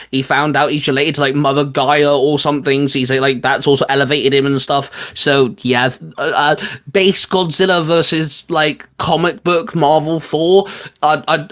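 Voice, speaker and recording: A man's voice: medium pitch, flat delivery, normal speed, fairly bright tone. A man chatting casually as a co-host, explaining his picks informally with blunt slang. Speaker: co-host of a geek discussion show. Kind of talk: casual chat about comic-book fiction.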